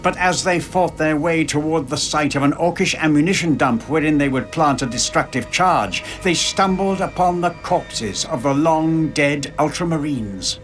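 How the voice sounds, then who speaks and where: An actor playing a grave war chronicler, narrating a video game in a formal, portentous manner. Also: male voice, fairly high pitch, normal speed, hardly any pauses, soft background music, natural voice. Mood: grave, ominous. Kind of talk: scripted dramatic game narration.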